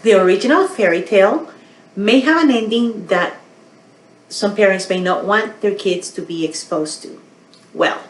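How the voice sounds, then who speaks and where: A woman narrating a storytime for young children as a library storyteller, in a simple, expressive manner. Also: mid-range voice, poor audio. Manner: expressive, simple, asking the listeners questions.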